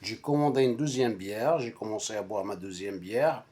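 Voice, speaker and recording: A man whose first language is Arabic, telling a personal story casually in a halting, repetitive and emphatic way. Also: fairly low pitch, warm tone. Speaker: storyteller recounting a personal anecdote to friends.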